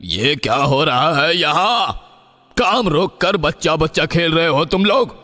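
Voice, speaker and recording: Guy doing a mean scornful boss villain for a cartoon story, slightly high for a man, big echoey hall sound. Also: expressive, a little slow, bright, forceful tone. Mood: scornful anger. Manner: menacing, scornful. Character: cruel, bullying boss and callous official, adult man. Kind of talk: animated moral story voice acting. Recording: long hall reverb, music behind.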